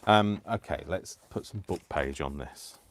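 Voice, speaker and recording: Deep-voiced tired man rambling. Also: measured pace, fairly bright tone. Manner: chatty, self-deprecating, talks to himself. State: tired, keeps clearing his throat. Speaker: live-stream crafting host. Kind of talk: rambling casual chat while crafting.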